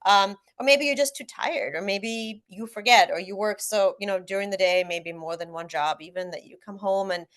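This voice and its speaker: A woman's voice: medium pitch, normal speed, few pauses, fairly bright tone. A woman whose first language is Russian speaks as a guest author on a parenting panel, explaining things earnestly with frequent fillers and restarts. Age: adult parent. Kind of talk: casual panel discussion.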